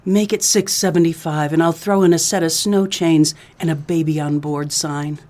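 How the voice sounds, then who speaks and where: A woman plays a scheming widow from a comic noir radio play. Her voice sits fairly low, and she talks in a coy, cloyingly flirtatious femme fatale manner. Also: fairly even intonation, moderate pace, mellow, natural voice. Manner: coy, sweet-talking with pet names.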